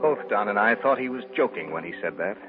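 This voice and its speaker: Man acting a deep-voiced writer recounting eerie tales. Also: animated, close to an everyday voice. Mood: reflective, ominous. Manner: measured, theatrical storytelling. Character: reflective male writer recounting an eerie tale. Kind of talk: old-time radio drama narration. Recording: dull old radio recording with music.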